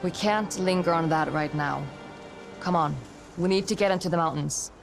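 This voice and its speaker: A woman's voice: fairly low pitch, moderate pace, vocal fry. An actress playing a practical, skeptical young woman warrior in a video game, terse and dry. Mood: guarded, firm. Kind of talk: video game cutscene acting. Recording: loud game score behind. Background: music.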